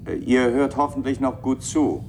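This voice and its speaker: An actor playing a composed, slightly wry statesman in a radio play, reading aloud in a measured, formal way. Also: mid-range voice, darkish tone, slight background noise, natural voice. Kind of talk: German radio play acting.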